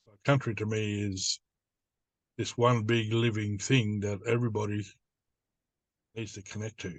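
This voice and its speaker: A man's voice: lowish voice, flat delivery, a little slow, no echo. An older man from New South Wales with an Australian accent, speaking as an elder and teacher in plain, repetitive, simply built phrases. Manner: plain-spoken, repeats phrases in simple lists. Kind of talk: informal spoken teaching.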